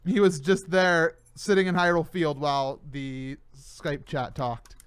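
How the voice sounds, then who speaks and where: A man hosting a casual podcast chat, animated and full of stammering restarts. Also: fairly high pitch, a little slow, clear, resonant tone, no room sound. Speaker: host.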